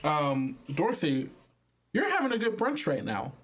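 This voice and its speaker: A man's voice: fairly high pitch, sing-song, normal speed, a bit bright. A man working as game master, narrating an improvised tabletop game in a casual, hesitant, conversational way.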